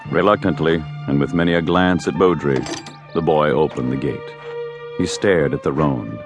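A man's voice: extremely low pitch, moderate pace, music in the background. A man narrating a scripted Old West tale as a grave storyteller. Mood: measured.

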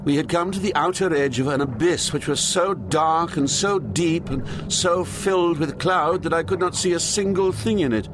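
An actor playing a poet who recounts his journey through Hell in sombre, measured literary narration, atmospheric noise behind him. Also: a little high, natural voice. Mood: awed, fearful. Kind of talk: dramatised audiobook, literary first-person narration.

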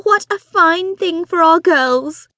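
A woman voicing an excitable, fussy middle-aged mother who gushes and grows impatient with her husband. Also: slow pace, no echo, full character voice. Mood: excited, gushing. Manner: shrill, gushing, impatient.

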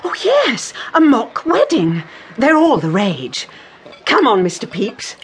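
High, gushing, flirtatious lady. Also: sing-song, a little slow, a little hiss or hum. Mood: playful. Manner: effusive, theatrical. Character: gushing, flirtatious married lady guest, playful wit. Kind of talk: full-cast radio period drama.

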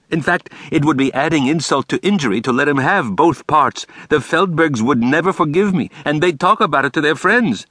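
Theatrical fortyish male principal, high and animated, fussy and indignant, voiced by a male reader. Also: no echo, studio quality. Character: bouncy flamboyant fortyish male school principal.

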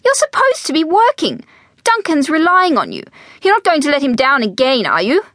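A woman voicing a prim, exasperated society mother in an audiobook, scolding in sharp, hissed reprimands. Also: huge pitch swings, normal speed, no echo, clean studio sound, exaggerated voice. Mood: indignant, scolding. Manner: high, sharp, hissing reprimands. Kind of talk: audiobook character voice.

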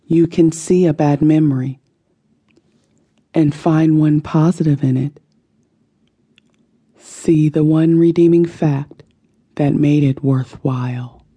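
A woman narrating a guided meditation in a soothing, deliberate manner, letting her phrases end softly. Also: deep voice, fairly even intonation, husky. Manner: slow, soothing, deliberate delivery with soft phrase endings. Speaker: audiobook narrator.

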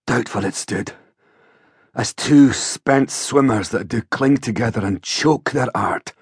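A man plays a battle-worn sergeant reporting in Shakespearean verse. The delivery is slow, halting and declamatory, husky with exhaustion, in a close, dry audiobook recording. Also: mid-range voice, no room sound, heavily acted. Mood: grim, fierce.

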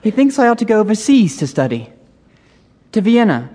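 An actor playing a dutiful sixteen-year-old son with a brief, deferential way of talking in an audio drama. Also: male voice, sits high, very animated, normal speed, dark tone. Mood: earnest. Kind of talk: theatrical audio drama acting. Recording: reverberant hall stage recording.

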